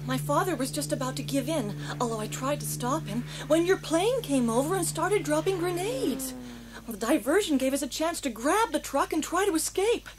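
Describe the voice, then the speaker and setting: High-voiced plucky daughter. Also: female voice, lively intonation, moderate pace. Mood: relieved, recounting. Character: plucky young woman, the doctor's daughter. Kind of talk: children's audio story acting. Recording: noisy old story cassette.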